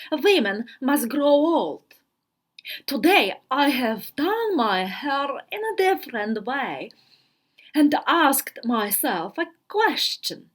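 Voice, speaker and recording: Woman playing a high-voiced, theatrical teenage girl diarist. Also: fairly bright tone, heavily acted. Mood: solemn, self-important. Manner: theatrical, deliberate pauses, emphatic delivery. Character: dramatic, self-important fourteen-year-old diarist girl, earnest and worldly-wise. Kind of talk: dramatic literary reading of a diary.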